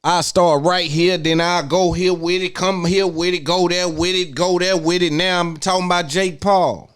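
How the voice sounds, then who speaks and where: A man's voice: high-pitched, steady tone, moderate pace, smooth, no echo. A man hosting a podcast, giving an opinionated monologue and repeating phrases for emphasis. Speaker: podcast host.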